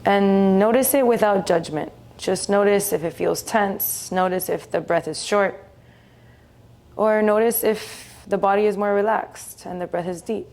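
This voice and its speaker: Woman doing guided meditation, gentle and soothing, medium pitch, takes her time w long pauses.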